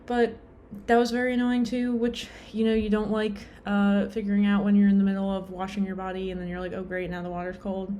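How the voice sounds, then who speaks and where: A woman's voice: medium pitch, monotone, brisk, hardly any pauses, dark tone, slight background noise. A young adult woman vlogging in a casual, rambling way, full of fillers and self-deprecating asides, sounding tired.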